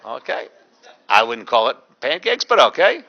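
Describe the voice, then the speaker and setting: Lively man telling dry-humored anecdotes. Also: medium pitch, normal speed, creaky, highs cut off. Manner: animated, mimics voices in anecdotes. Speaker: rabbi giving a public talk. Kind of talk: storytelling lecture with dry humor.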